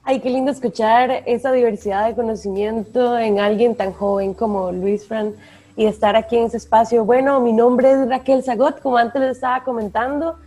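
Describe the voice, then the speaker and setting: A woman with a Costa Rican Spanish accent co-hosting a podcast. She speaks warmly and with enthusiasm. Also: on the high side, steady tone, normal speed. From Costa Rica. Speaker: podcast co-host, environmental educator. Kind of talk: casual podcast chat.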